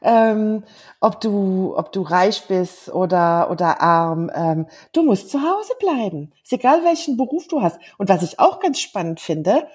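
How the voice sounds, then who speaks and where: A German-speaking woman in her fifties talks things through in relaxed conversation, earnest and reflective, with frequent pauses and fillers. Her voice is mellow and warm in tone, with a slightly husky edge. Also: medium pitch. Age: 50s. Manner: earnest, thoughtful, hesitant with fillers. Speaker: guest. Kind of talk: casual conversation.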